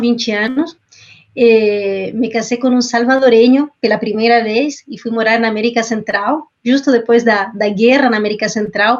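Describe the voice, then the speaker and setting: Panelist, middle-aged Chilean woman, Spanish native, halting delivery with fillers, lo-fi sound. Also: fairly high pitch. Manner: hesitant, frequent fillers and restarts. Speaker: panelist, marketing director. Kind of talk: panel talk.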